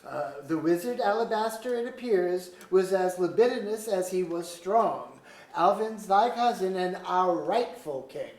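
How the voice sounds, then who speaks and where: A woman's voice: fairly low pitch, expressive, unhurried, a little mellow. A woman acting an ambitious, imperious noble lord in a staged play reading, with commanding, formal archaic speech. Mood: coolly mocking. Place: museum auditorium. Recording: echoey auditorium.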